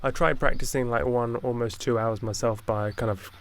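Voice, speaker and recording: A man interviewing in a casual conversation, deep-voiced, soft-spoken and hesitant, often trailing off mid-thought. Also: fairly even intonation, normal speed, heavy background noise.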